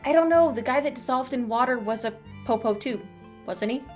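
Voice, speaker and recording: A woman's voice: fairly high pitch, fairly quick, soft background music. A woman giving casual live gameplay commentary as a streamer, with playful, sceptical asides. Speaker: game streamer.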